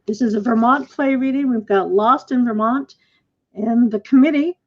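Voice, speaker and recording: A woman's voice: fairly high pitch, moderate pace. A middle-aged woman hosting a casual post-show discussion as the director, effusive and appreciative in her thanks, speaking over a video call. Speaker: play director and host. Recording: muffled video call audio.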